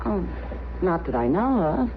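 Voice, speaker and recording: A woman's voice: on the low side, very animated, unhurried. An actress playing a friendly, teasing saloon woman in a 1950s radio western, breezy and familiar, with an American accent. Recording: dull, noisy 1950s radio recording.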